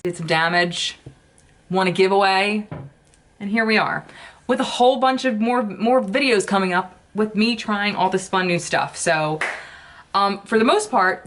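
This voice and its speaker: A woman's voice: lowish voice, fairly bright tone. A woman beauty vlogger chatting casually while she does her makeup, swearing often and gushing about products.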